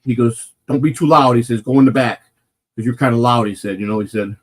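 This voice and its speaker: A man with a naturally loud, mid-pitched voice tells a long story on a casual morning stream. He rambles, swears freely, and keeps restarting his sentences. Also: brisk. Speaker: morning show host telling a personal story.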